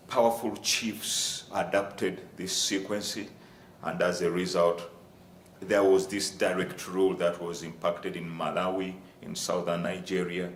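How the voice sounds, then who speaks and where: A man from Uganda, giving a formal presentation on a university panel and addressing the audience as ladies and gentlemen. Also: low voice. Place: lecture room. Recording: echoey room with faint ambient noise.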